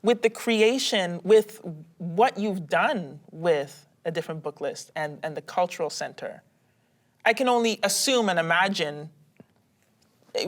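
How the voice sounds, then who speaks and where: A woman hosting a casual conversation, energetic and quick to affirm her guest. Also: lowish voice. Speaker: host interviewer.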